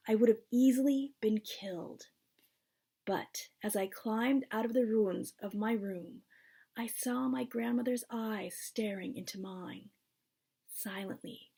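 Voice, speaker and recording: Husky woman reading a children's story. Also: on the high side, a little mellow. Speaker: author reading their book.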